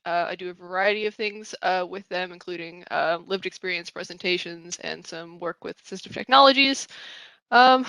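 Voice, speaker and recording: A woman's voice: medium pitch, a bit bright, smooth, dry recording, poor audio. A woman speaking as a panelist, hesitant, with many fillers. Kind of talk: casual panel talk.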